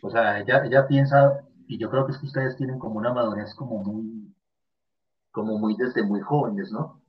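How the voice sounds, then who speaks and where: A man's voice: on the low side, steady tone, normal speed, a little hiss or hum. Colombian man, muffled lo-fi sound. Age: late 30s. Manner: chatty, emphatic, many discourse tags. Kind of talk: casual chat. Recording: muffled lo-fi audio.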